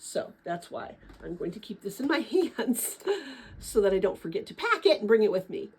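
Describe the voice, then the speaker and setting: A woman reads aloud to young listeners as a host and narrator. Her delivery is expressive and emphatic, with animated swings in pitch. The voice has a slightly husky edge. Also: moderate pace. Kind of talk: dramatic children's book read-aloud with casual asides.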